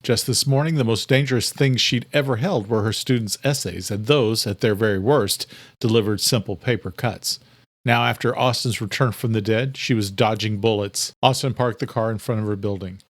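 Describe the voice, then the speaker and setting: A man's voice: fairly low pitch, moderate pace, no room sound. A man reading scripted narration for a thriller audiobook.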